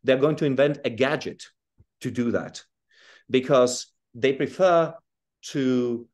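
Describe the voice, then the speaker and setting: A man from Czechoslovakia and South Africa, a guest author, explaining his ideas in a relaxed interview over a video call, often restarting phrases. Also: mid-range voice, slow pace. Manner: thoughtful, restarts phrases, chuckles while explaining.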